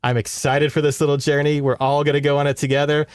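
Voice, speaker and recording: A man's voice: fairly high pitch, little pitch movement, fast talker, smooth, dry recording, studio quality. A man co-hosting a podcast, recapping a book in a rambling, conversational way with sardonic asides. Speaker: podcast co-host. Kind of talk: casual book recap chat.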